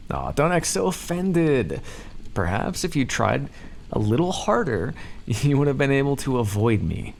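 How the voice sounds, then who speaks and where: A man's voice: mid-range voice, big pitch swings, a little slow, vocal fry, slight background noise. A man voicing a smug, playfully menacing vampire captor in an ASMR roleplay, speaking to the listener in a theatrical, condescending and teasing way. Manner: condescending, theatrical, teasing drawl with pet names.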